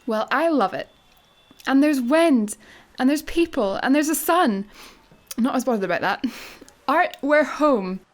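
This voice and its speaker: Wry Scottish space traveller, higher voice. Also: female voice, expressive, unhurried. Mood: elated. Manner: dry, teasing, blunt. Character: sardonic, level-headed female space traveller. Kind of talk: sci-fi comedy radio play acting.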